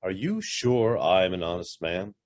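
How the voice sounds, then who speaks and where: Guarded middle-aged businessman in a radio play, acted by a man; lively, swinging intonation, clipped and haunted by guilt. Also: low voice, measured pace. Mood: uneasy, confessing. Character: guarded, brusque investment firm boss hiding a guilty past. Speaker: actor. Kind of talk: radio drama acting.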